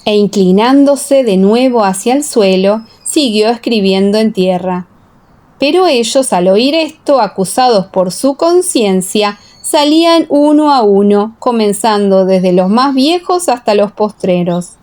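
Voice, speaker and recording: A woman's voice: medium pitch, animated, unhurried, darkish tone. A woman reading scripted audiobook narration. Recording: echoey, long reverb.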